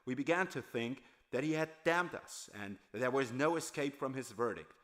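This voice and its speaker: A weary, haunted drifter who once worked in a factory, played by a male audiobook reader. He recounts the past in a halting, solemn, reflective way, as a confession at a medium pitch. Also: expressive, a bit bright, close to an everyday voice. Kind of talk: dramatized audiobook monologue.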